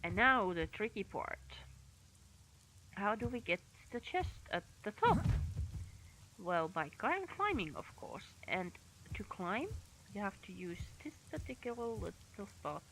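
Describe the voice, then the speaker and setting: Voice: mid-range voice, sing-song, unhurried, slight background noise, rough recording. A game streamer giving casual commentary while playing and chatting teasingly to the creatures on screen. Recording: loud game music behind voice. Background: loud game music.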